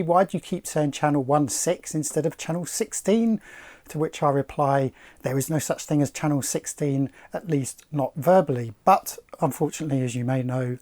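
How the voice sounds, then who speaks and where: A middle-aged man presenting to camera, explaining things in a measured, articulate, slightly formal way. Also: on the high side, darkish tone. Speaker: sailing channel presenter.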